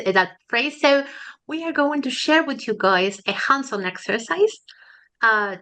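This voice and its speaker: Woman, bright, crisp smooth voice, friendly webinar host, video call. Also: mid-range voice. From Argentina. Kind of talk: webinar presentation.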